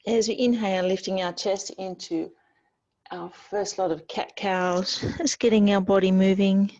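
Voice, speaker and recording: A woman teaching yoga, giving gentle, soothing, unhurried guided instruction with pauses between phrases. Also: medium pitch, fairly even intonation, muffled recording.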